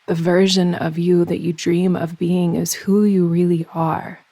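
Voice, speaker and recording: A woman guiding a yoga nidra meditation in slow, soothing instruction, with measured, unhurried phrasing and pauses. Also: lowish voice, little pitch movement, mellow, dry recording.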